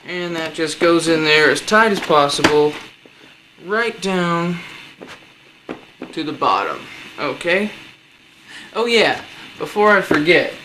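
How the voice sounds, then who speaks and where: A man giving a casual hands-on tutorial, informally talking through each step as he works. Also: fairly high pitch. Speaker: presenter of a DIY build tutorial. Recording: noisy amateur home recording.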